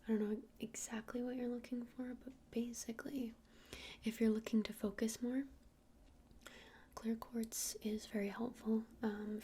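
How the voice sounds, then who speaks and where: A woman whispering softly and breathily in an ASMR roleplay as an easygoing witch shopkeeper, casual and a little hesitant. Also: fairly high pitch, slight background noise. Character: friendly, easygoing witch running a crystal shop.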